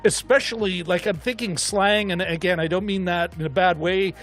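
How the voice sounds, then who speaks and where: High-pitched man, rambling and animated. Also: normal speed, faint music behind. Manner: rambling, animated, thinking aloud. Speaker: co-host of a film discussion show. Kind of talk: casual chat.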